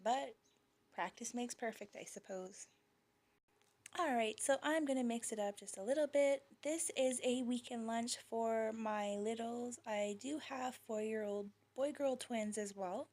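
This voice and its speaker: A woman's voice: fairly high pitch, measured pace, a little mellow. A young woman talking casually about her kids' lunches, chatty with small laughs, her voice slightly worn. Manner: chatty, laughs lightly, fillers like um and uh. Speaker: parent showing kids' lunches. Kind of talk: casual voice-over vlog.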